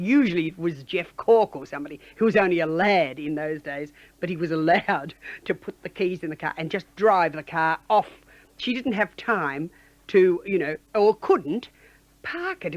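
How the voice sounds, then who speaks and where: A woman, a former colleague, tells a lively anecdote and mimics others' voices. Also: mid-range voice, no echo, muffled recording. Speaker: former station colleague interviewed. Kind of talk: anecdote telling.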